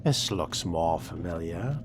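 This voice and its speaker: A man with a husky, breathy voice giving dry, sardonic in-character commentary as he plays a role-playing game. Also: low voice, animated, takes it slowly, music in the background. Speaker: player narrating a role-playing game playthrough.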